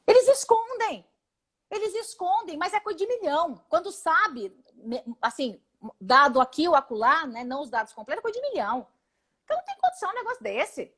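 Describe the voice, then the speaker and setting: A high-pitched Brazilian woman in her forties talks over a video call. She is emphatic and indignant and often runs through quick lists. She speaks as a congresswoman guest in a live interview. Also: very animated, a bit bright. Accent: Brazilian Portuguese. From Paraná, Brazil.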